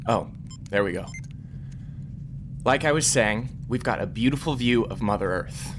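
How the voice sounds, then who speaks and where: A man's voice: fairly low pitch, normal speed, noisy background, lightly acted. An actor playing an earnest, slightly flustered male scientist fronting a broadcast in an audio drama. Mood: earnest, nervous. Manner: earnest, presentational.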